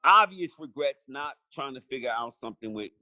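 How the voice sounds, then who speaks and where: A man's voice: mid-range voice, lively intonation, moderate pace, dry recording. Middle-aged new guy talking straight, cussing, stumbling over his starts, dry close mic. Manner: blunt, profane, repeats himself when starting a thought; quiet, reflective when talking about regrets. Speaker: podcast guest. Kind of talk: casual conversation.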